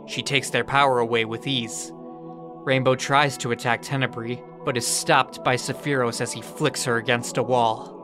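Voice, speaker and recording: A man's voice: medium pitch, steady tone, moderate pace. A man reading scripted narration that recaps a fantasy story. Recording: music under the voice.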